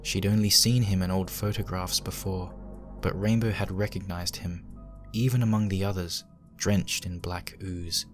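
Low-pitched man narrating a horror story. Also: steady tone, moderate pace, soft background music. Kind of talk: dramatic reading of horror fan fiction.

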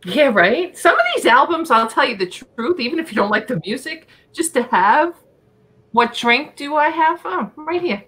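A woman's voice: high-pitched, lively intonation, moderate pace, fairly bright tone. Woman, New York accent, middle-aged, chatty live auction host, small home room, webcam. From Long Island, New York. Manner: chatty, thinking aloud while reading album titles. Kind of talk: casual live-stream selling records.

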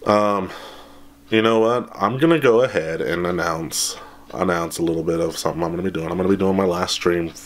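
A man in his thirties, slightly hoarse after being sick, chatting casually and rambling with lots of ums and laughs, holding a camera at arm's length in a small room. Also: low voice, brisk, slight background noise. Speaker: channel host. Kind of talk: casual update vlog. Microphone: handheld camera at arm's length.

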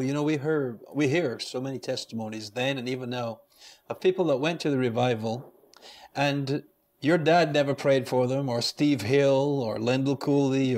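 A middle-aged man from Barbados, hosting a TV talk show and chatting with his guests in a studio. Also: medium pitch. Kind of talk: conversational interviewing. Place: TV studio, seated in an armchair.

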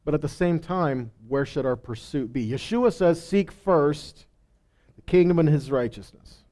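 Male preacher, middle-aged, warm dark timbre, emphatic teaching with rhetorical questions, headset mic. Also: on the high side, expressive, a little slow. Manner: emphatic, rhetorical questions, stresses key words. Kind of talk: sermon, conversational teaching. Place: stage in a congregation hall.

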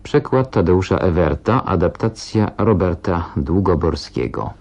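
A man's voice: deep voice, lively intonation, unhurried, vocal fry, a little mellow, highs cut off. A man working as a radio announcer, reading formal scripted credits.

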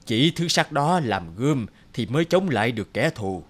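A man's voice: medium pitch, sing-song, normal speed. A man voicing a wise old village elder. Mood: grave. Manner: solemn, ceremonial speech. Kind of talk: cartoon voice acting. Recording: voice over loud music.

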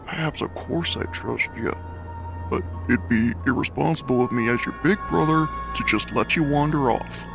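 A man voicing a laid-back, protective eighteen-year-old skeleton big brother in a comic dub, with a gravelly voice and casual drawl. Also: medium pitch, unhurried, over music. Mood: weary, firm. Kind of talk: dramatic comic dub acting.

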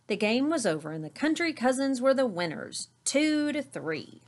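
A middle-aged woman reading a children's story aloud in an expressive narrating style. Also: sits high, moderate pace. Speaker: storybook reader. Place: small indoor room with curtain backdrop.

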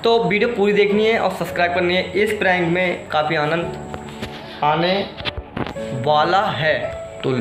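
Young man, high pitch, casual vlog talk, insistent appeals, roomy echo. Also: steady tone, normal speed, pauses often. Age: young adult. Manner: repetitive, pleading appeals to viewers. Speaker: reaction video host. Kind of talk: casual vlog commentary to camera. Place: small indoor room. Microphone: phone at arm's length. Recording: echoey room with loud music behind. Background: music.

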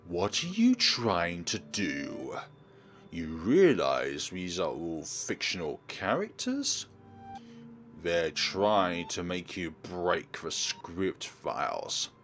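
A man voicing a menacing, controlling sentient game program with slow, stern, drawn-out delivery. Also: low voice, wildly swooping pitch, fairly bright tone, faint music behind, voice pushed down, exaggerated voice.

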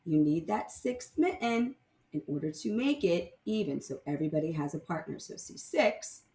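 A woman teaching primary math to young children, reading aloud and explaining deliberately with sing-song emphasis on key words. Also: on the low side, lively intonation, mellow, reverberant.

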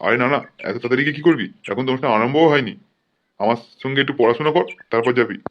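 A man's voice: medium pitch, very fast, highs cut off, close to an everyday voice. A man voicing a homely old grandfather in a comic cartoon, fussing and insistent. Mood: fond, fussing. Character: nagging, protective, uneducated old grandfather. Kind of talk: comic cartoon dubbing in colloquial Bengali.

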